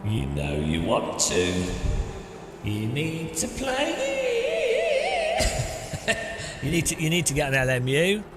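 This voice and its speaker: Joking man with a lively voice. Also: mid-range voice, slow pace, long pauses. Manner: joking, teasing, rambling. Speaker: sim racing streamer. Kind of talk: casual live-stream chat while driving. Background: loud electronic music.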